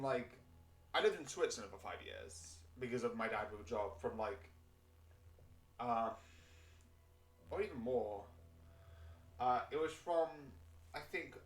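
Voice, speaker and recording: A man's voice: mid-range voice, fairly bright tone. A man from the United Kingdom chatting casually while streaming a game, rambling with fillers and self-corrections. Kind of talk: casual chat over gameplay. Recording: roomy and distant mic.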